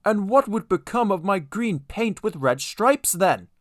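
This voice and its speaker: Vain storybook engine, male reader acting: stubborn, rude, fussy, animated pitch swings. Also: a little slow, no echo, clean studio sound. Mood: petulant. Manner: petulant, fussy.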